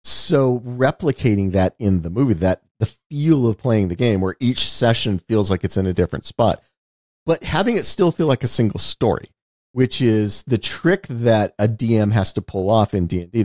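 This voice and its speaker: A man's voice: fairly low pitch, lively intonation, moderate pace, darkish tone, dry recording, studio quality. A man co-hosting a podcast, explaining film and game details in a knowledgeable, casual way. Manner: explanatory, knowledgeable, repeats words when starting. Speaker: podcast co-host. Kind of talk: casual movie discussion.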